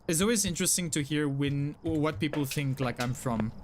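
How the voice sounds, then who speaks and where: Chatty South African streamer, Afrikaans English accent. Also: male voice, on the high side, fairly quick, a little hiss or hum. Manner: chatty, apologetic, frequent self-repeats and "like" fillers. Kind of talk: casual live-stream chat reading chat messages.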